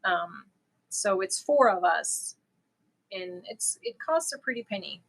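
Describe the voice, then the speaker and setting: A woman's voice: mid-range voice, sing-song, slow, creaky, slightly rough, low-quality recording. A middle-aged woman from Germany, chatting casually with viewers as host of a crochet livestream and laughing easily. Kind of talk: casual livestream chat answering viewer comments.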